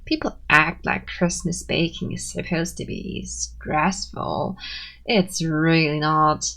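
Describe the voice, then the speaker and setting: A woman playing a tsundere friend in an ASMR roleplay, brusque and defensive but secretly sweet. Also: on the low side. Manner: brusque, defensive, softening into shy warmth. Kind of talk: ASMR roleplay, scripted monologue to listener.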